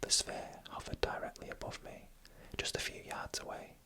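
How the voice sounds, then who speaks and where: A narrator whispering eerie first-person stories in ASMR style, in a hushed, deliberate, suspenseful manner.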